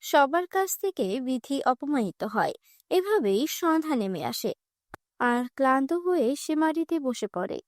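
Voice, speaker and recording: A woman's voice: high-pitched, very animated, moderate pace, no echo. A woman narrator reading a scripted cartoon fairy tale.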